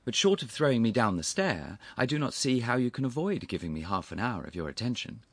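A man voicing an affable, impudent young gentleman in a storybook, unflappable, courteous and easily conversational. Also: mid-range voice, big pitch swings, a little fast, no echo, voice pushed up. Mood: affably obstinate. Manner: smooth, courteous, easy conversational cheek. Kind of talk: audiobook character voice.

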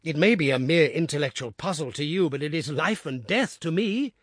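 A man's voice: mid-range voice, normal speed, slightly rough, dry recording, studio quality, lightly acted. A man voicing a big, desperate husband who pleads urgently and in anguish.

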